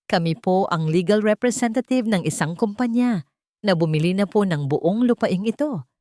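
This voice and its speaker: A woman's voice: mid-range voice, expressive, normal speed, mellow, dry recording, clean studio sound, voice pushed up, natural voice. Woman voicing a stern, cold corporate lawyer man. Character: hard, unfeeling male corporate lawyer evicting tenants.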